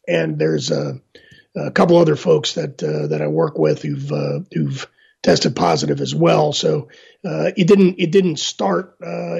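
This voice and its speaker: Hoarse middle-aged male guest, gravelly and husky, halting with fillers; dull lo-fi audio. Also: fairly high pitch, darkish tone. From Northern Virginia, USA. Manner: halting, many "uh" fillers and restarts. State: hoarse from illness. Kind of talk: casual interview talk. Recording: dull lo-fi line.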